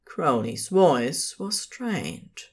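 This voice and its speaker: Woman reading a story, pretty low voice, takes it slow, phrase by phrase. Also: big pitch swings, slightly rough. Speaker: narrator. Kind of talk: scripted fiction narration.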